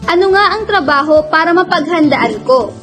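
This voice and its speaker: Woman acting really high young girl, upset student pushing back, pleading, radio drama. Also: animated, moderate pace, a bit bright, faint music behind. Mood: uneasy. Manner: protesting, pleading. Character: defiant, distressed young female student forced to work.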